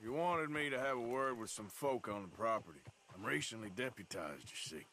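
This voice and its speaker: An actor playing a rugged, wry and laconic American outlaw cowboy in a video game. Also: male voice, mid-range voice, lively intonation, brisk, bright, forceful tone, a little hiss or hum. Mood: wry, polite. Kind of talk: video game cutscene acting.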